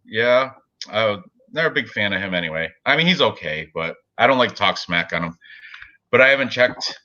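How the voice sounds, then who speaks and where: A man's voice: fairly low pitch, animated, moderate pace, pushed and bright, lo-fi sound. A man in his forties hosting a casual sports betting chat, rambling with lots of fillers and frequent laughs. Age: 40s. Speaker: podcast host.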